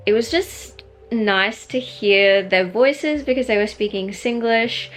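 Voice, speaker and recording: A woman's voice: medium pitch, slow, soft background music. Woman, young adult, Australian accent, casual vlog narration, easygoing, filler-heavy. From Singapore. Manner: relaxed, chatty, many "like" fillers. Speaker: vlogger.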